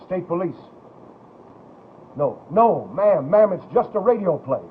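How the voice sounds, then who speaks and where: A man playing a harried state police desk officer in a radio drama, brisk and exasperated. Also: sits high, very animated. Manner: brisk, exasperated, repetitive reassuring. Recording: tinny, noisy old radio sound.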